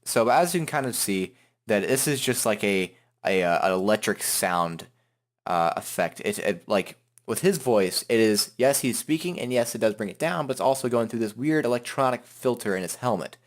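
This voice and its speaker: A man hosting a software tutorial in an informal, joking, self-deprecating way. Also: lowish voice, expressive, normal speed. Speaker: tutorial host. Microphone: headset microphone.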